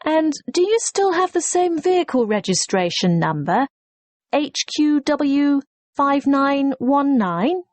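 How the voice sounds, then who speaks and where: A woman's voice: sits high, animated, slow, darkish tone, no echo. A woman playing a courteous, efficient hotel receptionist in a scripted listening-test dialogue, formal and helpful.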